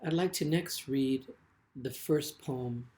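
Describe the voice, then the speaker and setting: Slow, deliberate man reading poetry. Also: mid-range voice, darkish tone. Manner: deliberate, measured phrasing with frequent pauses. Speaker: poet reading own work. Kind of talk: literary poetry reading with brief spoken introductions.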